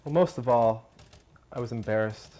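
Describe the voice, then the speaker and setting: Actor as gentle, embarrassed male, average register, measured reflective confession. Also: medium pitch, close to an everyday voice.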